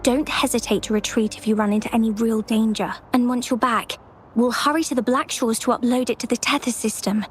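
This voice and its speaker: A woman's voice: on the high side, steady tone, fairly quick, a little hiss or hum, close to an everyday voice. A woman voicing an earnest, polite young female researcher in a video game cutscene, explaining things carefully. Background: game music.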